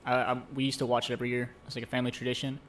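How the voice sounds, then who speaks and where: A man's voice: mid-range voice, monotone, rapid-fire, fairly bright tone, slight background noise. Earnest male co-host retelling a story. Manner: earnest, storytelling, many fillers. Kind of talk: casual chat. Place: home streaming setup.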